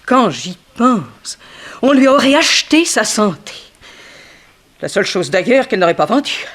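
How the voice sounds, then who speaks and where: Theatrical, bitter ex-ballerina, swooping voice. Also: female voice, on the high side, sing-song, fairly bright tone. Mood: sardonic, gossiping. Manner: dramatic, insinuating, swinging from slow emphasis to outbursts. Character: bitter, theatrical ex-ballerina, sharp-tongued goddaughter of the victim. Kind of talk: French 1960s radio detective drama acting.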